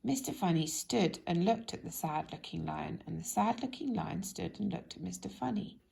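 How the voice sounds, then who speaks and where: A woman reading a children's story aloud in an expressive, animated way, speaking directly to young listeners. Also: on the low side, normal speed, vocal fry. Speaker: storybook reader.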